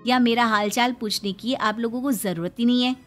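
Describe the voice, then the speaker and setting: Storyteller woman playing young daughter-in-law, dutiful, polite, high voice, soft music behind. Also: moderate pace, close to an everyday voice. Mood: hurt, reproachful. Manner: polite, eager to please.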